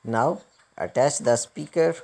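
A man giving step-by-step hands-on repair instructions in a halting, repetitive way. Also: mid-range voice, creaky, a little mellow. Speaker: repair tutorial presenter.

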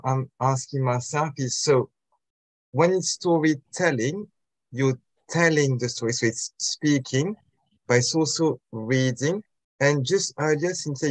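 A man's voice: mid-range voice, no room sound, poor audio. A young man hosting an interview over a video call, hesitant with stammering restarts. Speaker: host. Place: home room indoors.

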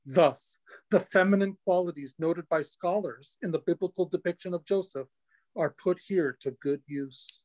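A middle-aged man giving scripted scholarly narration in a measured, wry manner. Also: high-pitched, darkish tone, poor audio. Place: indoors at home. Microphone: phone or computer on a video call.

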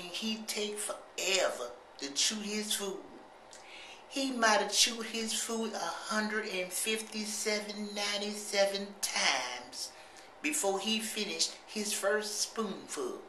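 A rambling, gossipy country sibling, put on by a middle-aged man, drawls slowly. The voice is bright and a little pinched. It echoes around a small tiled bathroom, caught on a handheld camera, with a faint hiss underneath. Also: high voice, heavily acted. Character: slow, simple-minded gossipy country sibling. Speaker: comedy vlogger. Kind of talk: comic monologue to camera. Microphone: handheld camera at arm's length.

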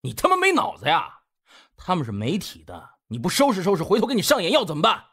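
Man playing an arrogant gangster boss, animated delivery. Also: high voice, fast talker, breathy edge, heavily acted. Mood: angry, threatening. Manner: curt, contemptuous, swearing. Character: arrogant, foul-mouthed, impatient gangster boss.

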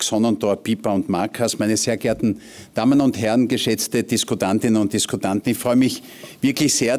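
A man, giving a formal welcome speech as a politician in a conference hall. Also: on the low side. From Austria. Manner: formal, measured. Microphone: lectern mic.

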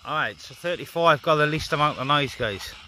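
A man's voice: fairly high pitch, a little fast, bright, forceful tone. A man giving casual, hands-on vlog commentary outdoors in a garden, speaking informally and hesitantly as he busies himself with gear. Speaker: amateur CB radio hobbyist filming a vlog. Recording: noisy outdoor phone-camera recording.

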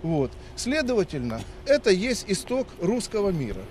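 A man's voice: high-pitched, big pitch swings, normal speed, darkish tone. A man in his fifties answering a reporter on the street, lecturing and argumentative, with frequent hesitations. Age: 50s. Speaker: dictation participant. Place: outdoors on a city street. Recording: faint street noise.

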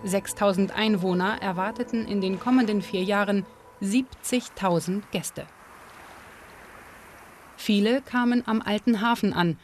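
A woman narrating a documentary and interviewing, measured and clear. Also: medium pitch, a little mellow, a little hiss or hum. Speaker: documentary presenter. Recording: music bed under voice.

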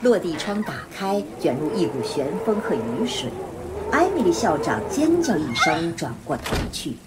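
A woman's voice: on the low side, big pitch swings, normal speed, heavy background noise. A woman narrating a scripted radio drama, reading out the scene directions.

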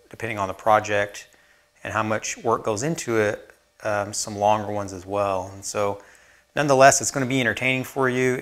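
A man in his thirties, host of a woodworking channel, explaining a build casually with plenty of fillers, recorded on a lapel mic in his workshop. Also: on the low side. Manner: relaxed, plain-spoken, many fillers. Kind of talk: casual how-to narration. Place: woodworking shop indoors.

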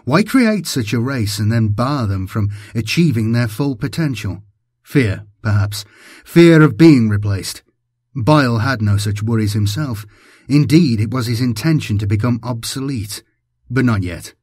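A man's voice: medium pitch, a little mellow, slightly rough, dry recording, clean studio sound. A man giving a dramatic scripted narration of an audiobook as its narrator.

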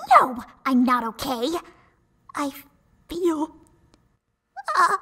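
Woman acting a volatile, high-voiced angry flower. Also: animated, extremely slow, bright, forceful tone, heavily acted. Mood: seething. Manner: snapping, emphatic. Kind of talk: animated comic dub acting.

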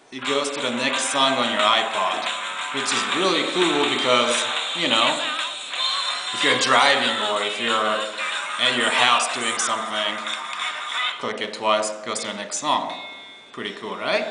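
A man in his twenties giving a casual, laid-back product demo in an informal way. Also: mid-range voice. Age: 20s. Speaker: product presenter. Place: small bare meeting room indoors. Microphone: camera on table, about arm's length or farther. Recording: echoey room, faint music.

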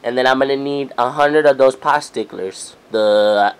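A young man speaking casually as a customer on a prank phone call, halting and repeating himself. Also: mid-range voice, smooth. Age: young adult. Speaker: prank caller, video host. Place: small room at home.

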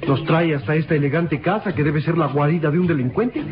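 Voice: mid-range voice, brisk, lightly acted. An actor playing an eager, suspicious boy sidekick in an old radio drama, teasing and earnest in a theatrical way. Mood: wary, insistent. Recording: dull old radio broadcast recording. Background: dramatic music.

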